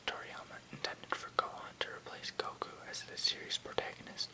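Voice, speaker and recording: An ASMR host reading an encyclopedia article aloud in a whisper, measured, with the odd stumble over a word. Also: low-quality recording. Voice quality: unvoiced whisper.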